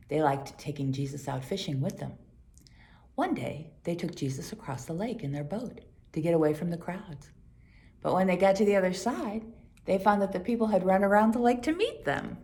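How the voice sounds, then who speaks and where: Low-voiced woman reading a children's story expressively. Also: lively intonation, moderate pace, mellow. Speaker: storybook reader. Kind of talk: scripted children's Bible story read aloud.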